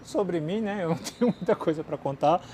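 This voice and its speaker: A Japanese-born man, a non-native speaker, chats loosely with a fairly high, mellow voice. He fills his talk with little fillers and swings between bright bursts and low mutters. Faint outdoor noise sits under him. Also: brisk. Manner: chatty, many fillers, drifts between lively and mumbling. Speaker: channel host answering viewer questions. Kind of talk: casual chat. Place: outdoors in a city.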